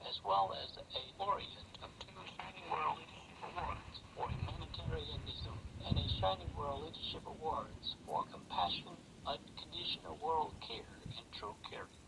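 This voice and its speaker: Male news presenter, creaky voice, scripted read, distant-sounding on an extremely noisy shortwave radio signal. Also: on the high side. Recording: loud static, distant, shortwave radio capture. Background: heavy shortwave static and noise.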